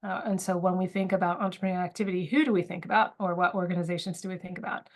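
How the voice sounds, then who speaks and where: A woman's voice: lowish voice, fairly even intonation, rapid-fire. Woman, webinar lecturer, slightly sniffly from a cold, thoughtful, many ums and restarts. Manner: thoughtful, with frequent fillers and restarts. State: has a cold, a little sniffly. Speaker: academic presenter. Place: university.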